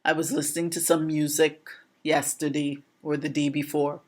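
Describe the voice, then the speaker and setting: A woman with a Trinidadian accent and a slightly hoarse voice, teaching informally in a deliberate, questioning way, like an educator talking to viewers. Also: low voice, flat delivery, a little mellow. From Trinidad and Tobago. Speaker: language educator, presenter. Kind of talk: informal explanatory lesson to camera.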